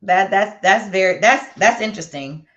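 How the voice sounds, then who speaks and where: A woman's voice: mid-range voice, moderate pace, fairly bright tone. A woman hosting a casual interview, heard through a dull, muffled recording. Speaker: host relaying audience questions.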